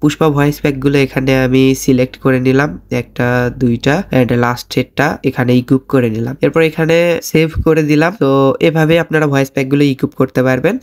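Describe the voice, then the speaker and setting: A man's voice: fairly high pitch, a little mellow. A man with a Bangladeshi accent giving casual, slangy game commentary straight to viewers as a gaming host.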